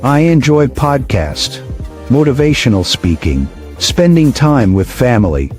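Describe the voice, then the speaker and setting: A man from Guyana, founder of a motivational platform, giving short, deliberate answers to interview questions. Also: mid-range voice, sing-song, darkish tone, faint music behind.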